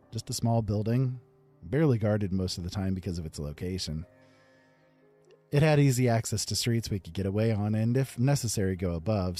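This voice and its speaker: A man narrates a fantasy tale in the first person, wry and rueful. His voice is low and mellow, with faint music underneath. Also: husky. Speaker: storyteller.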